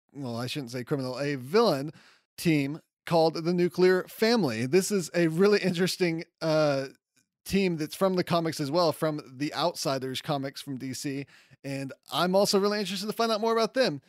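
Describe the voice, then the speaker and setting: A man with a fairly high voice talks as a podcast host, giving casual, enthusiastic commentary. His delivery is conversational and open to self-deprecating asides, and the sound is close and dry. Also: animated, moderate pace, dry recording. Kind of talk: casual comics news commentary.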